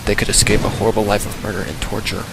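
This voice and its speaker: A man's voice: on the low side, steady tone, rapid-fire, vocal fry, very noisy. A man reading a story dramatically in the first person, as a fan fiction narrator. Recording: loud rain and thunder behind voice. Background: storm sound effects.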